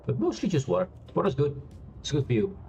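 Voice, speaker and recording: A man streaming a space game, chatting loosely with many fillers and restarts, laughing now and then. He has a loud voice. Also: on the high side, wildly swooping pitch, quick pace, creaky, dull audio, a little hiss or hum. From Europe. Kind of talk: casual gameplay commentary. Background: quiet game music.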